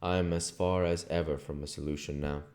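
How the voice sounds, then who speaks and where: A man reading a classic novel aloud as narrator, in a measured, plain way. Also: a bass voice, steady tone, darkish tone. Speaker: audiobook reader and channel host.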